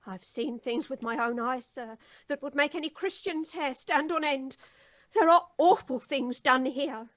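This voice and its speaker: An actress playing a fretful, doom-laden housekeeper, wailing and melodramatic in old-time radio style. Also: female voice, high-pitched, a little slow, fairly bright tone, dry recording, clean studio sound. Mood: wailing, ominous. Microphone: close studio microphone.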